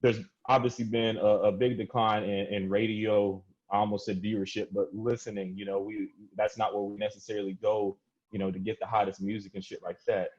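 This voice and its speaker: A man's voice: on the low side, level tone, a little fast, a little mellow. A man hosting a podcast in a casual, rambling, slangy way as he leads the discussion. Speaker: podcast host. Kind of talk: casual hosting, introducing topics. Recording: dull lo-fi audio.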